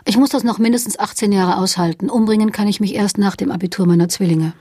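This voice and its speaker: A woman's voice: mid-range voice, fast talker, warm tone, breathy edge, no room sound, lightly acted. A woman performing a wry storyteller who recounts a literary gathering in a radio play. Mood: wry storytelling.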